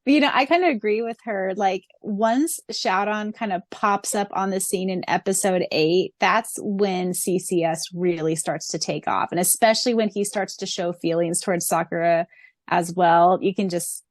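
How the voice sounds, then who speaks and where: Excited woman cohost gushing in casual chat, mid voice, dry close sound. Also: medium pitch, dry recording. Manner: enthusiastic, gushing, quick asides. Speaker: podcast co-host.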